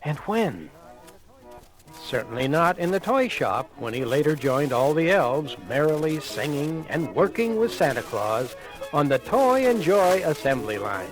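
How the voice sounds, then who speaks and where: A man narrating a children's Christmas read-along record in an animated, conversational way, addressing the listener with dramatic pauses, on a vintage 1980 vinyl recording. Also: on the high side, rough recording. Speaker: storyteller. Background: music.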